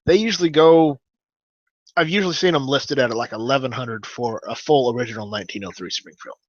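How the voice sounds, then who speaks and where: A man chatting casually as a podcast co-host, joking and quick to tease. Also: mid-range voice, no echo. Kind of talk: casual chat about firearms. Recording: dull lo-fi mic audio.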